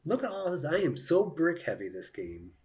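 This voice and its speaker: A man's voice: fairly high pitch, sing-song, normal speed, a little mellow. A man giving casual live commentary on a solo board game, thinking aloud and explaining each move to viewers.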